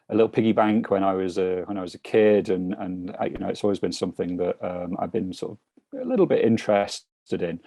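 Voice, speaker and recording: British guy, deep gravelly voice, dry close sound, lots of ums. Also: mellow, no echo. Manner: hesitant, many ums and restarts. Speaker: financial mentor. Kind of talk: casual chat on a video call.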